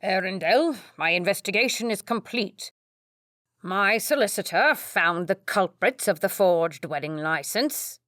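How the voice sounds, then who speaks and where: A woman's voice: medium pitch, expressive, a little slow, a bit bright, no room sound, studio quality. A woman voicing a domineering nobleman with a lazy drawl. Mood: commanding. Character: domineering scheming older nobleman father.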